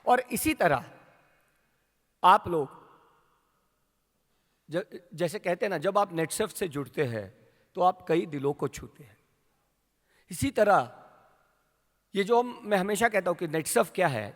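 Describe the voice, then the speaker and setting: Rousing Indian man with very high pitch. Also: animated, a little slow, long pauses. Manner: rousing, asks the crowd questions, builds to shouts. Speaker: company leader addressing a sales team. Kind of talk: motivational speech. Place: large hall at a training event. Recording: long hall reverb.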